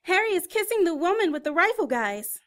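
Anxious young female sidekick, actress, very high, piercing, swooping delivery. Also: big pitch swings, a little fast, fairly bright tone, full character voice. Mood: excited. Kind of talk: audio drama acting.

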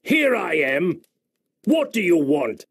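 Man doing a gravelly old sea captain. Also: a little high, very animated, takes it slowly, voice pushed up, exaggerated voice. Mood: defiant. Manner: shouting, defiant outbursts. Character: old weathered sea captain, hot-tempered and frightened.

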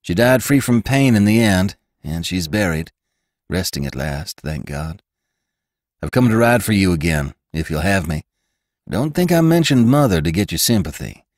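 A man's voice: deep voice, sing-song, normal speed, a little mellow, no echo, studio quality, pitched above the performer's own voice. A man voicing a gaunt, earnest ranch rider who speaks in an urgent, emphatic way.

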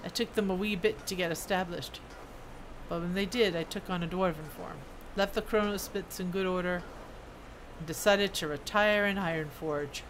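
A woman's voice: on the low side, moderate pace, voice pushed down, natural voice. Lady doing a proud old dwarf smith from a fantasy game, folksy, some noise behind. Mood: reminiscing. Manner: folksy, proud, reading scripted dialogue. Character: gruff hearty old male dwarf blacksmith.

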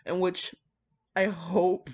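A woman's voice: on the low side, level tone, takes it slowly, a little mellow. A woman rambling casually with dramatic asides, brash, slangy and full of swearing as she comments on fan fiction. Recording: dull lo-fi microphone.

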